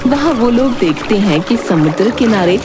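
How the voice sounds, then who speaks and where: A woman's voice: fairly high pitch, expressive, moderate pace, music in the background. A woman narrating a scripted storybook tale as its narrator.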